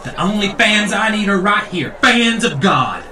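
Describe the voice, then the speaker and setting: An actor playing a pompous, indignant, crooked church leader with a preachy, folksy manner in a comedic audio drama. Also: male voice, sits high, lively intonation, moderate pace. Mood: blustering. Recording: echoey room, distant, crowd behind.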